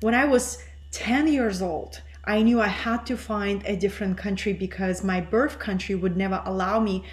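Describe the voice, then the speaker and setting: A woman's voice: medium pitch, moderate pace. Earnest, teacherly woman from Russia. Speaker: interview guest, spiritual teacher. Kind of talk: reflective interview talk.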